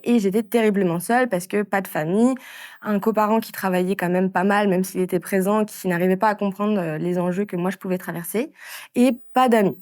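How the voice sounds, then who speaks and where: A woman in her thirties from France, telling her story in a casual interview, with frequent pauses and fillers. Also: medium pitch, darkish tone, no echo, clean studio sound. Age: 30s. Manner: hesitant, many fillers and restarts. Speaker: interviewee, entrepreneur.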